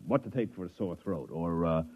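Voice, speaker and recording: Wisecracking 50s repairman, lively and grumbly, dull old radio sound. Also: low voice, brisk, no room sound. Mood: salesman patter. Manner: wry, colloquial, grumbling. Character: wisecracking, put-upon repairman narrating his story. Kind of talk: 1950s radio acting, first-person narration. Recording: dull vintage 1950s radio recording.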